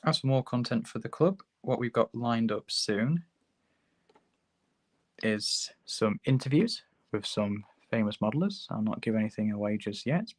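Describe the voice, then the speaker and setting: A man with a hoarse sore throat, hosting a relaxed, chatty livestream tutorial while building a model kit at his workbench. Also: mid-range voice, no room sound. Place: hobby workbench, filmed from overhead.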